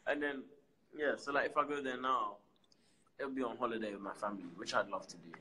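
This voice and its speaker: A young man, a guest on a casual live-stream chat, easygoing and curious, laughing often in a bright indoor room. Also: mid-range voice, fairly even intonation, brisk. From United Kingdom. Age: young adult.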